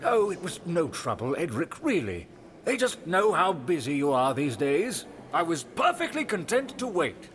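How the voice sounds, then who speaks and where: A high-voiced, kindly old king. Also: wildly swooping pitch, moderate pace, a bit bright, slight background noise. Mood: warm, delighted. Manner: self-effacing, folksy, eager to please. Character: kindly, humble, fussing old king and loving father. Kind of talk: audio drama acting.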